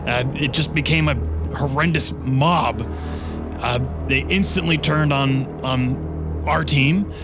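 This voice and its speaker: A man playing an urgent, blunt, battle-shaken scout who reports haltingly in a tabletop roleplay. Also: a little high, lightly acted.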